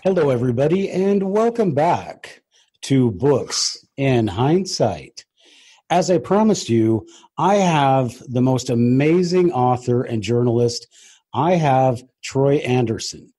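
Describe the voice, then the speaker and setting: A man hosting a podcast in a conversational way, with a somewhat halting delivery. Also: mid-range voice, lively intonation, dark tone, husky, no echo. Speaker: podcast host.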